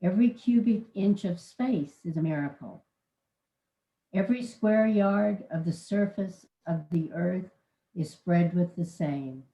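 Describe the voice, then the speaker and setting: Slow, low-voiced woman reading aloud. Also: warm tone, roomy sound, highs cut off.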